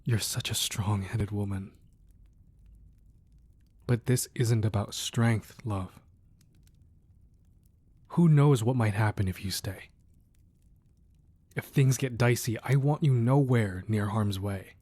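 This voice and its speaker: A deep, mellow male actor playing a crime boss husband in a romantic audio roleplay. He is soft and breathy, measured and firm, a ruthless man who turns protective and affectionate with the woman he loves. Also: dry recording. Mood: worried, tender. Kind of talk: intimate romantic ASMR roleplay acting.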